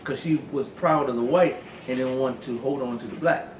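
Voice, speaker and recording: A man's voice: mid-range voice, normal speed. Hesitant man telling a story, far off. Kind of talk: casual anecdote. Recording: distant mic in a noisy, echoey room.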